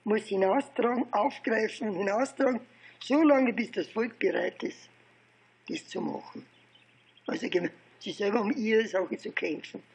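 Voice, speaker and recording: An elderly man with an Austrian accent and a husky voice, telling his recollections informally in a halting, digressive way with repeated phrases. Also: high-pitched, rough recording. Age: 70s or older. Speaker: interviewee recounting political and research past. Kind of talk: informal oral history storytelling.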